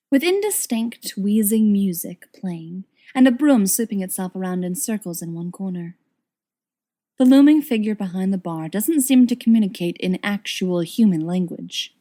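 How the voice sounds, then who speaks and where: A woman's voice: mid-range voice, expressive, a little slow, mellow. A woman reading a story aloud as scripted narration, in the manner of an audiobook reader.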